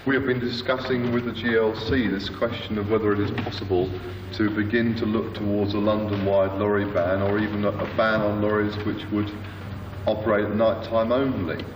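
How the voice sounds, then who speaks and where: A man's voice: on the low side, level tone, moderate pace. A man explaining points in a considered way at a public meeting. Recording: noisy echoey hall, distant mic.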